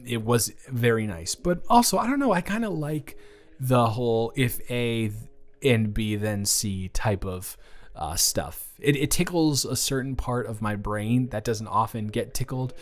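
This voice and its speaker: A man's voice: medium pitch, measured pace, lightly acted. A young man playing a friendly, slightly awkward college student in a roleplay audio, talking casually and hesitantly to the listener as a dormmate. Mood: excited, friendly. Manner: rambling, animated, hesitant casual speech.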